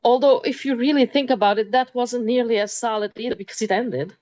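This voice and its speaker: A woman, hosting a talk in a thoughtful way, pausing with many ums. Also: on the high side, darkish tone, lo-fi sound. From Transylvania, Romania. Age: 50s. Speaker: interview host. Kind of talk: moderated talk.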